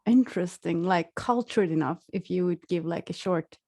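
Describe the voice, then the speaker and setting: A woman's voice: lowish voice, normal speed, mellow. A woman hosting a casual interview, asking her guest questions in a friendly way. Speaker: podcast host.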